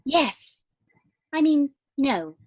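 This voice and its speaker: Woman playing a high, lilting, prim young girl. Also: very animated, extremely slow, dry recording, exaggerated voice. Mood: eager. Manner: prim, eager. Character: sweet, romantic, prim young girl of good family. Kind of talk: dramatic reading of a period stage comedy.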